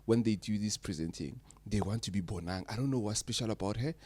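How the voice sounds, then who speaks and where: A man's voice: on the low side, fast, mellow, no room sound. A man hosting a casual podcast, encouraging his guest and chiming in often. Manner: encouraging, frequent backchannel. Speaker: podcast host.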